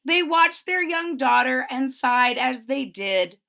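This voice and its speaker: Slow, expressive woman reading a storybook. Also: high voice, vocal fry. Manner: expressive, chatty asides to listeners between rhymes. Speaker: read-aloud storyteller. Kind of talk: children's picture book read-aloud.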